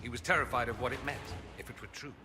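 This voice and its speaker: A man's voice: medium pitch, quick pace, over music, close to an everyday voice. A man playing a witty, urbane young noble mage in a video game, speaking in a wry, articulate and theatrical way. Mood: subdued. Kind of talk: dramatic video game dialogue. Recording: long hall reverb with clear background noise.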